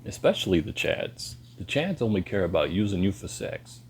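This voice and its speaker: A man, lecturing bluntly in a halting monologue to camera. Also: very animated, a little hiss or hum. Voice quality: deep voice. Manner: slow and halting, pauses and restarts. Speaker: vlogger.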